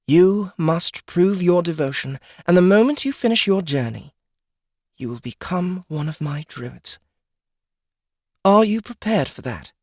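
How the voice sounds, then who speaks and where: A woman playing a stern goddess of nature in an audiobook, speaking formally and deliberately, with an imperious manner. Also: lowish voice, lively intonation, darkish tone, no room sound, clean studio sound. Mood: solemn warning. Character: stern, regal goddess of nature, solemn and commanding. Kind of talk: scripted audiobook character acting.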